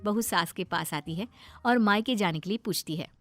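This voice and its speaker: A woman's voice: mid-range voice, moderate pace, fairly bright tone. A woman reading scripted narration for a family cartoon story as its storyteller. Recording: music behind the voice.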